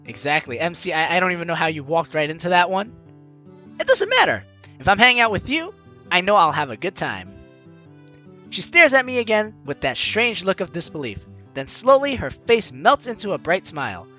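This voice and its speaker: A man with a bright, forceful voice hosts a let's play over game music. He reads the story text aloud and breaks into jokey, exclamatory commentary, his pitch leaping around theatrically. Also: sing-song, normal speed, lo-fi sound. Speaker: gaming video host.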